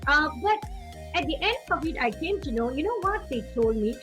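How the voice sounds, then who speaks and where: Earnest high-voiced woman evangelist from Singapore. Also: big pitch swings, moderate pace, fairly bright tone, soft background music. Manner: earnest, repeats phrases for emphasis. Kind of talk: teaching testimony.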